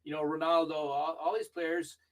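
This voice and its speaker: An older man who grew up in Argentina, telling stories in a chatty, animated way as a podcast interview guest. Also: on the high side, normal speed, far from the mic. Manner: chatty storytelling, animated when recalling matches. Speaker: interview guest, video review manager.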